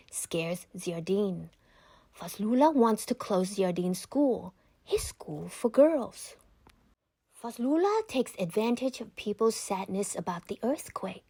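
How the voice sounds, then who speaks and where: A woman reads a children's book aloud in a fairly high voice. Her intonation moves widely and expressively through measured, scripted narration. The sound is dry, with no room echo. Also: a little mellow.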